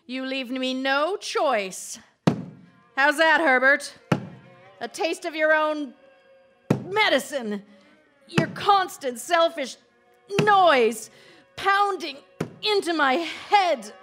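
A woman playing a domineering, coldly menacing wife in an old-time radio drama, deliberate and commanding. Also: high-pitched, lively intonation, music in the background.

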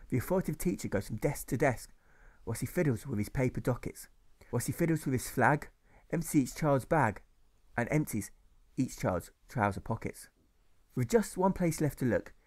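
Male narrator, mid-pitched, reading verse with a steady rhythm. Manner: rhythmic, measured. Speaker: author reading his own novel. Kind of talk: rhyming audiobook narration.

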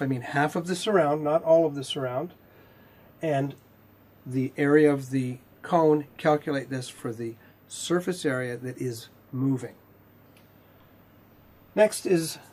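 A man in his fifties giving a technical lecture in a measured, explanatory way with pauses mid-sentence, his voice slightly husky. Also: medium pitch, darkish tone. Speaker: instructor, R&D manager. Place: indoor video studio with whiteboard.

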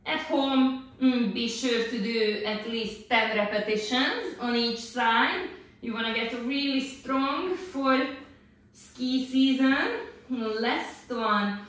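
A woman's voice: on the high side, fairly bright tone. A middle-aged woman working as a Pilates instructor, giving encouraging step-by-step exercise cues. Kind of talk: exercise instruction, demonstrating moves. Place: small indoor home-style exercise studio. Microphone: distant camera microphone. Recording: echoey room, distant microphone.

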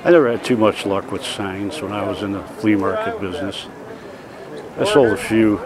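A man's voice: on the low side, moderate pace, a crowd in the background. An older man giving rambling, plain-spoken, casual commentary as he walks around with a camera. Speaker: vlogger. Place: busy outdoor fairground and market buildings. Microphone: handheld camera mic, held by speaker. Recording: windy, noisy outdoor camcorder audio.

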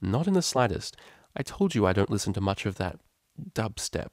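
A man's voice: lowish voice, very animated, normal speed, no room sound, studio quality. A man voicing a proper, awkward young female pony cellist who speaks in a polite, formal, hesitant way. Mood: prim.

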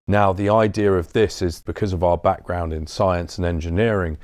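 A man's voice: low voice, steady tone, normal speed, darkish tone, no room sound, studio quality. A man in his thirties informally explaining products as a company director showing visitors around a showroom. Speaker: business development director giving a showroom tour. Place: large acoustically treated showroom.

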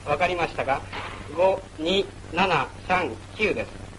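A man's voice: on the high side, expressive, slow, background noise. A man narrating a wartime military training film in a formal, instructional manner, on an old film soundtrack. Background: music.

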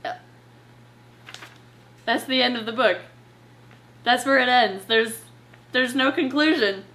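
A young woman chatting casually and reading aloud as a reptile channel presenter, with an animated, playfully sarcastic manner. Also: on the high side, slow pace. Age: young adult. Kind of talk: casual chat with read-aloud passages and commentary. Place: living room couch at home, indoors.

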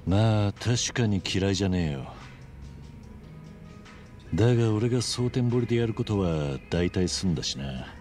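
A man's voice: on the low side, animated, measured pace, pauses often, a little mellow, soft background music. A man voicing a stoic, blunt middle-aged tough fighter in a video game cutscene. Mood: reserved. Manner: terse, blunt, reserved.

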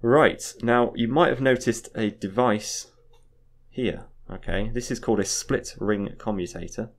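A man's voice: on the low side, a little slow. A man teaching in an informal explainer style, going step by step, repeating points and often checking in with a short tag. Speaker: physics teacher. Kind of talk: informal explainer lesson, talking through a diagram.

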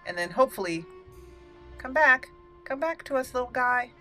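A woman's voice: high voice, expressive, normal speed, sharp tone, soft background music. A woman hosting a gaming channel, giving casual, playful let's play commentary and talking to the characters. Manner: playful, talks to game characters.

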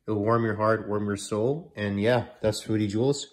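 A man's voice: on the low side, steady tone, normal speed. Male food vlogger, congested from a cold, chatty and self-correcting, filmed at home in the kitchen. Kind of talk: casual cooking vlog.

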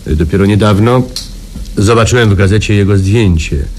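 A low male voice of an actor as a hardened backwoods guide in a radio play. He is a vengeful ex-robber who speaks deliberately and haltingly. There is some noise on the old recording. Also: close to an everyday voice. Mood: quiet bitterness. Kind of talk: Polish radio drama acting.

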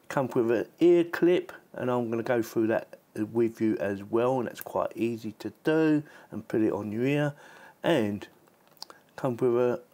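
A man's voice: medium pitch, darkish tone. A man giving a casual, chatty hands-on product demonstration, informal and given to repeating himself. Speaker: product reviewer.

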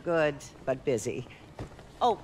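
Lively-pitched, proud older aunt. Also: female voice, takes it slowly, slight background noise, exaggerated voice, poor audio. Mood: warm, relieved. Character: frail, warm older aunt, proud and stubborn. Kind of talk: cinematic game dialogue.

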